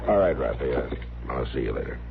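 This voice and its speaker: A grave, very deep-voiced town marshal in a 1950s radio western. He talks in calm, terse, reassuring lines, as someone in charge. The old recording is narrow and tinny, with clear noise. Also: quick pace, heavily acted.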